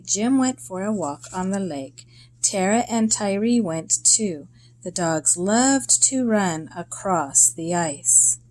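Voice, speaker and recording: A woman reading a children's story aloud in a deliberate, expressive way, in short phrases with pauses. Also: medium pitch, slight background noise.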